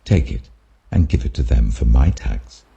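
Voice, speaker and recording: A British man voicing Jesus as an authoritative, earnest teacher, deliberate and emphatic. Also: rumbling low, animated, creaky, mellow, husky, dull audio, voice pushed down, heavily acted. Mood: patient, instructive.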